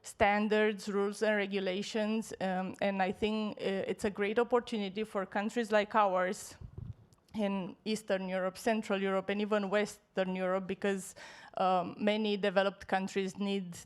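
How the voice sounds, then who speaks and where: Smooth-voiced woman panelist. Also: medium pitch, little pitch movement, normal speed. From Romania. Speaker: panelist, real estate professional. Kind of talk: self-introduction on a panel. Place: conference stage before an audience. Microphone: handheld microphone.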